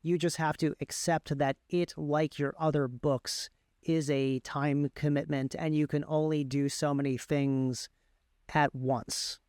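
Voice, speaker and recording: A man speaking as an interview guest, explaining his work in a casual, halting, conversational way. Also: fairly high pitch, little pitch movement, a little mellow, smooth, no echo, clean studio sound. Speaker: guest, author and audiobook narrator.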